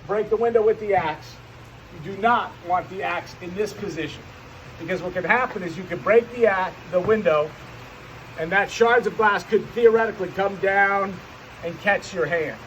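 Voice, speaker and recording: A man's voice: sits high, moderate pace, lo-fi sound, slight background noise. A man giving an unscripted, hands-on demonstration as a firefighting instructor, often repeating and restarting his phrases as he explains.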